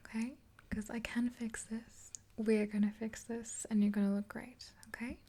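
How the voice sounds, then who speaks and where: A young woman speaks in a breathy, mellow whisper right up at the microphone. Her delivery stays even and unhurried, with small hesitations, like a soothing one-sided ASMR roleplay with a friend. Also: fairly high pitch. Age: 20s. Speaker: ASMR video creator playing a makeup artist friend. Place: small indoor room, dark backdrop. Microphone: close to the mic, facing camera.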